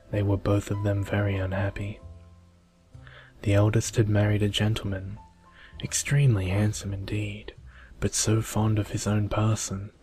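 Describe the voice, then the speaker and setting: Low soft male voice, very flat, asmr storybook reading over music, slow and soothing. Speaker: storyteller, video creator.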